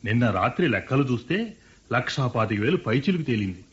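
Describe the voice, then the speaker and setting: A proud farmer son-in-law. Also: male voice, medium pitch, expressive, fast talker, breathy edge. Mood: earnest. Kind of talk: 1970s-style radio play acting. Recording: dull lo-fi radio broadcast.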